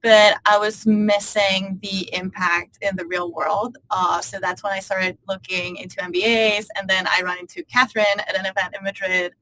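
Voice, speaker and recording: A woman from Spain telling her career story on a student panel with a steady, even delivery. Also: medium pitch, normal speed, hardly any pauses, slight background noise, poor audio. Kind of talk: fluent self-introduction.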